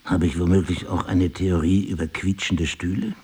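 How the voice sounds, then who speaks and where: An actor playing a wry, disoriented husband with amnesia, musing and articulate, in a German radio play. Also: male voice, low-pitched, fairly even intonation, moderate pace, a little mellow, breathy edge, full character voice. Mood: dry, sardonic.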